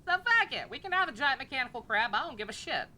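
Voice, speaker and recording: A woman's voice: sits high, sing-song, fast talker, pushed and bright. A woman game streamer giving casual, irreverent live commentary, rambling and swearing freely.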